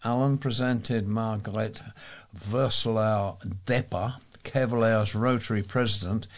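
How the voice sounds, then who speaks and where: A man reading local news aloud as a volunteer newsreader, in a measured way with explanatory asides. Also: fairly low pitch.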